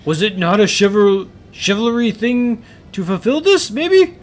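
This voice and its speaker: A man voicing an unsure knight captain and father, halting, with questioning upward endings. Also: high voice, lively intonation. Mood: solemn, uncertain.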